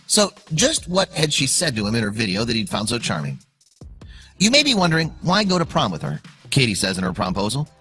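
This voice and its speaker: A man narrates a scripted story for online video with suspense and emphasis. His bright, forceful voice sits at a middle pitch and moves in lively swings. Music plays softly behind him. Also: brisk. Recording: music under the voice. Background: background music.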